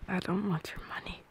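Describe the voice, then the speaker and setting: A woman's voice: high voice, warm tone, slight background noise. A woman doing an intimate, often whispered ASMR girlfriend roleplay in a playful, giggly, affectionate manner.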